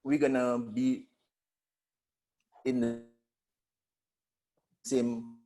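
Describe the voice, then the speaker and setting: A man speaking as a security panelist on a webinar video call, halting with many fillers and restarts. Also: medium pitch, flat delivery, mellow.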